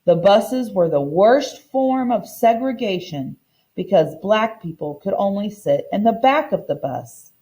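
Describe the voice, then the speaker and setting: Lady teacher reading a picture book to little kids, mellow voice, really animated pitch, explains stuff simply. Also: a little slow. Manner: simple, explanatory teacher talk addressed to young children. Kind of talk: children's read-aloud with explanatory commentary.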